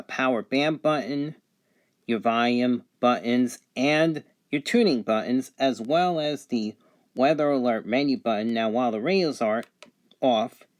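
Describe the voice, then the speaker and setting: Male product reviewer, medium pitch, unscripted and matter-of-fact, listing features haltingly one by one. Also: darkish tone.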